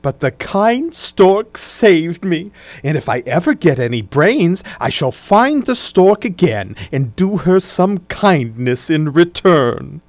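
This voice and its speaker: Simple, cheery straw man for a storybook, played by a woman: very animated, dry recording. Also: fairly low pitch, slow, dry recording. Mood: grateful. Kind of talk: children's storybook character reading.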